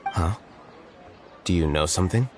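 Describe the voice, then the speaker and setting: An actor playing a composed, analytical young scholar in a video game, explaining in a measured, matter-of-fact way. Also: male voice, deep voice, natural voice. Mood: calm, skeptical. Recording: music behind the voice.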